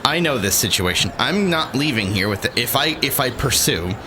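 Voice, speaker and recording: Man, casual storyteller, swooping lively pitch, noisy background, acts out voices. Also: moderate pace. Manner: animated, acts out quoted voices, laughs often.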